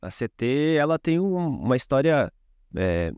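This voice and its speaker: A man's voice: medium pitch, big pitch swings, a little slow, a little mellow, clean tone, dry recording, studio quality. A man hosting an interview, speaking in a hesitant way with many fillers. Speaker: podcast host.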